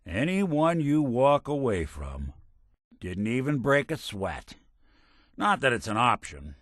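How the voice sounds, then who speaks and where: An actor voicing a weary, wry, kind-hearted synthetic detective as a companion in a video game, in a hard-boiled style. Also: male voice, mid-range voice, very animated, takes it slowly, a bit bright, dry recording, heavily acted. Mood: reassuring. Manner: wry, world-weary, dry-witted.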